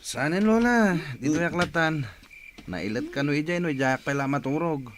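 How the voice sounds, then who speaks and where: A man's voice: fairly high pitch, expressive, moderate pace, slight background noise, natural voice. A man acting a sly, wheedling young cousin with an Ilocano accent in a Philippine radio drama. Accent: Filipino, Ilocano.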